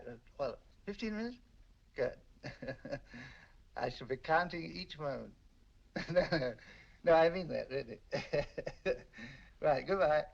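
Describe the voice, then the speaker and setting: High-voiced, jovial English gentleman, muffled soundtrack. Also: gravelly, full character voice. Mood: flirtatious. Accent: British English. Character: jovial, flirtatious middle-aged English gentleman collector, carefree. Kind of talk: 1940s film acting. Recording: muffled old film soundtrack.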